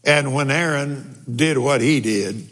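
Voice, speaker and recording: A man preaching in a folksy, unhurried way, chuckling through asides and reading scripture aloud. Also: medium pitch, a bit bright, big-room sound.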